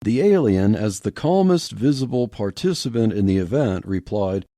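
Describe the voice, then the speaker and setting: Animated male narrator with a mellow tone. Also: on the low side, normal speed, no echo, clean studio sound. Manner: expressive, animated storytelling. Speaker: podcast host and narrator. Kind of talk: audiobook-style podcast story reading.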